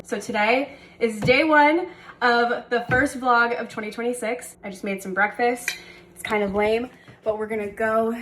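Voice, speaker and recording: A woman's voice: mid-range voice, a bit bright. A young woman talking casually to camera at home, chatty and upbeat, a bit nasal from a cold. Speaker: vlogger. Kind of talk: casual vlog talk to camera.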